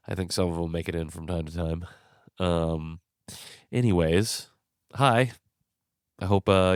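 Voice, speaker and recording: A man's voice: low-pitched, a little mellow, clear, resonant tone, no room sound, studio quality. A young man hosting his own podcast, stuffed up from a cold, talking frankly and rambling a little in a tired way. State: stuffed up, congested. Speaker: podcast host. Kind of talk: casual solo commentary. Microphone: close podcast mic.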